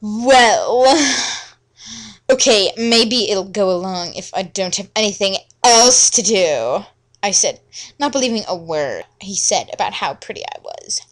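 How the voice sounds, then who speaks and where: A woman's voice: mid-range voice, expressive, measured pace, pitched below the performer's own voice, lightly acted. A woman playing a moody, self-absorbed teenage girl who narrates her romance in a mock-earnest fan fiction reading. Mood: grudging, wistful.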